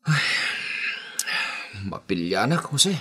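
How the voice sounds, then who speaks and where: A man's voice: mid-range voice, very animated, slow, bright, forceful tone, husky, exaggerated voice. A man acting an evasive, two-timing young boyfriend in a radio drama, with a Filipino accent. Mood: defensive.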